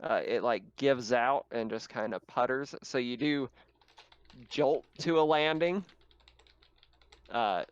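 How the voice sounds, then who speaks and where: Clear-voiced man running a tabletop game. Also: medium pitch, slow, pauses often, highs cut off. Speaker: game master. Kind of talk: casual tabletop game narration.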